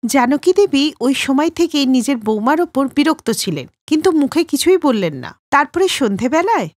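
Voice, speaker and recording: High-pitched, animated woman storyteller. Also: moderate pace, no room sound. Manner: expressive. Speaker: narrator. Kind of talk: scripted children's story narration.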